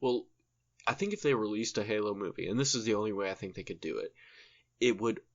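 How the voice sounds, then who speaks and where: A man's voice: fairly low pitch, quick pace, dull audio. A man co-hosting a podcast, chatting casually and enthusiastically with slang and swearing. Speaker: podcast co-host.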